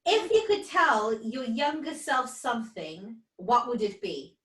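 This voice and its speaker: A woman's voice: on the high side, sing-song, a little slow. A woman co-hosting an informal interview and putting questions to a guest, heard from a distance in an echoey room. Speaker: co-host.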